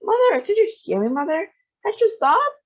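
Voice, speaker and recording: A panicky mother cries out in a shrill, high voice that leaps up and down , as a woman reads her in a storybook. Her words come out as wailing alarm. The recording is a bit dull and lo-fi. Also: expressive, unhurried. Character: frantic mother, a middle-aged woman.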